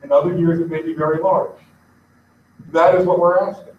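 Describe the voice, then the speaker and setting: A man giving an informal address to his congregation, earnest and conversational, pausing now and then to find his place. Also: a little high, flat delivery, slight background noise. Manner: earnest, conversational, pauses to look things up. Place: synagogue sanctuary. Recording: echoey, distant, dull live stream.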